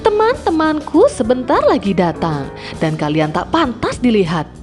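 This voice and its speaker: A strict storybook mother cat, acted by a woman, scolds her kittens in a raised, animated voice. She sounds mortified by them, over faint music. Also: on the high side, moderate pace, voice pushed up. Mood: scolding, ashamed.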